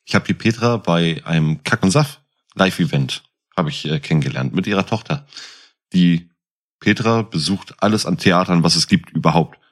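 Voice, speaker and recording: A middle-aged man with a deep voice speaks as one of a podcast's hosts. His delivery is informal and conversational, as in a casual chat between co-hosts. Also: normal speed. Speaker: podcast co-host.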